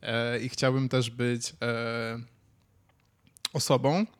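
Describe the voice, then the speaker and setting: Man, podcast co-host, medium pitch, casual conversational delivery, musing, searching for words. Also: flat delivery, takes it slowly, clear, resonant tone. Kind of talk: casual chat, musing and telling anecdotes.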